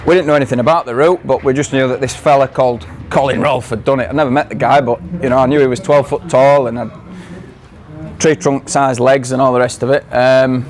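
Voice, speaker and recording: A man's voice: medium pitch, steady tone, brisk. Yorkshire man with a kiwi-tinged accent, live audience talk, playful teasing delivery. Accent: Yorkshire English mixed with New Zealand. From Yorkshire, England. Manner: jokey, teasing, laughing through his lines. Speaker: guest speaker.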